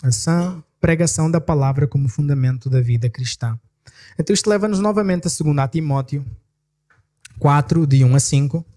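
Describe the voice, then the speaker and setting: A male pastor with a European Portuguese accent delivers a sermon in a fairly high voice. He reads the verses slowly with rising emphasis, then speeds up for quick explanations and small corrections. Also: warm tone. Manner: self-correcting, apologetic asides. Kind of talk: sermon with scripture reading.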